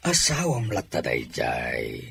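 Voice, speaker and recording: A man playing a concerned older father counselling his grown son in an audio drama, with a gravelly voice. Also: very deep voice, wildly swooping pitch, slow pace, sharp tone, slight background noise, exaggerated voice. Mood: admonishing. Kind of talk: dramatized audio story acting.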